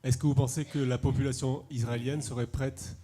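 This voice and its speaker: A man in the audience asking a question, phrasing it carefully and pausing to search for words. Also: medium pitch, flat delivery, mellow. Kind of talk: question from the floor.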